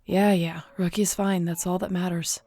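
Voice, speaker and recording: Woman playing a low, mellow, protective wolf-shifter. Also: flat delivery, moderate pace. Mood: weary. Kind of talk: intimate audio roleplay drama acting.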